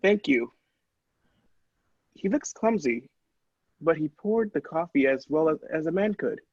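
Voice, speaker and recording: Guy playing earnest doctor in radio drama, husky n a bit high, lo-fi. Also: animated, measured pace, a little mellow, no room sound. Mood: amazed. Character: astonished, earnest male doctor visiting inventor. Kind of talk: old radio play read-through. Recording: dull lo-fi, dry sound.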